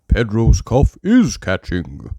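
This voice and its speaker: A big male bear doctor performed by a storybook reader speaks with calm authority. The delivery is slow, deliberate and reassuring, with stately drawn-out phrases and a dry, close sound. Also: mid-range voice, no echo, full character voice. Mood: calm, assured.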